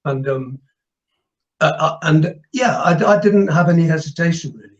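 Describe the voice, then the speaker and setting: A man's voice: on the high side, moderate pace, highs cut off. A man speaking as an interview guest in casual, thoughtful talk, hesitating and repeating words.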